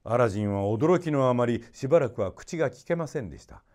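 A man narrating a children's story expressively, in a polite and measured storytelling manner. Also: medium pitch, expressive, a little mellow.

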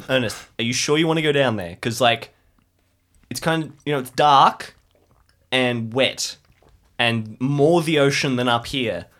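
A man's voice: medium pitch, measured pace, a bit bright. A man playing an improvised audio drama, talking in a casual, rambling, colloquial way. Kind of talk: casual in-character improvised chat.

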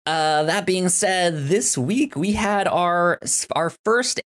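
A man co-hosting a podcast in casual TV recap chat, excitable and often stammering over repeated words. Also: high-pitched, slow. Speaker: podcast co-host.

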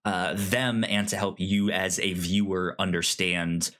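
A man co-hosting a casual film discussion podcast, speaking haltingly and often stammering over repeated syllables. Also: sits low, little pitch movement, pushed and bright. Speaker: podcast co-host.

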